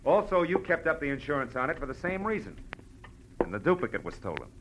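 An American actor playing a blunt, shrewd, world-weary private detective in a clipped, wry 1940s radio style. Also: male voice, fairly high pitch, very animated, fast talker, noisy background. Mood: accusing, pressing. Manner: clipped, tough, wry delivery. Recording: vintage radio recording with faint music.

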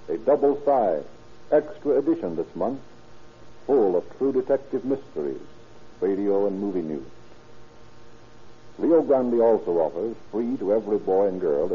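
A man's voice: lowish voice, slightly rough. A man working as a radio announcer, reading scripted sponsor copy in a formal, salesmanlike 1930s style. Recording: tinny, muffled 1930s radio recording.

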